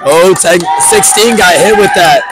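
A man doing live play-by-play commentary, with a raspy, hoarse voice from yelling, exclaiming often. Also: high-pitched, unhurried. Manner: excitable, lots of exclamations. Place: large indoor gym at a match. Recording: loud gym crowd and court noise.

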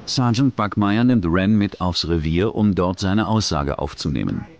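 Low-voiced male documentary narrator. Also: lively intonation, moderate pace, dull audio, slight background noise. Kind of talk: scripted true-crime narration.

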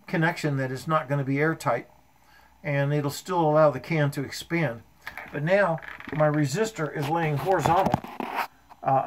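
Older man, higher-pitched, explaining his gear. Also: background noise. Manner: matter-of-fact, technical explaining. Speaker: amateur radio hobbyist. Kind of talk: informal show-and-tell of homemade equipment. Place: indoor home workshop.

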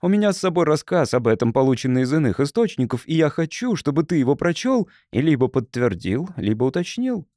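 A man's voice: on the high side, sing-song, fairly quick, few pauses, warm tone, no room sound, clean studio sound. A man voicing a mild, tactful church dignitary in an audiobook, courteous and formal. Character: mild, tactful high church dignitary questioning a young monk.

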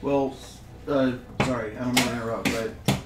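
A man's voice: medium pitch, steady tone, moderate pace. A man playing a tabletop roleplaying game, politely and eagerly offering to help. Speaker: player. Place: home dining room at a table. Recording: roomy, distant, background noise.

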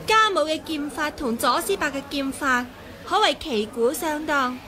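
A woman's voice: high voice, lively intonation, a little slow, pushed and bright, exaggerated voice. A cheeky young swordswoman. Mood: defiant. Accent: Hong Kong Cantonese. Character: bold, quick-witted young swordswoman. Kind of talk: 1980s radio drama acting. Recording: old radio broadcast with music and crowd behind.

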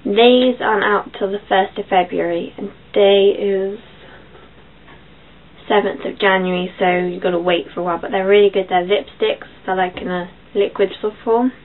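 A young woman with a croaky voice, chatting casually through a makeup tutorial in her bedroom, with filler ums as she goes. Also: medium pitch, fairly even intonation, moderate pace. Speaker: beauty vlogger. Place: small bedroom. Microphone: built-in webcam mic. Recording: tinny lo-fi webcam audio, faint hiss.

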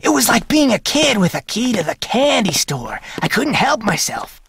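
A voice actor playing a boastful, cocky thief henchman in a video game, smug and relaxed. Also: mid-range voice, moderate pace, gravelly, background noise.

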